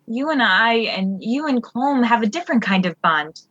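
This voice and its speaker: A woman playing a gentle, encouraging but easily flustered mentor in an audio drama, speaking in a halting, reassuring way. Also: fairly high pitch, animated, highs cut off.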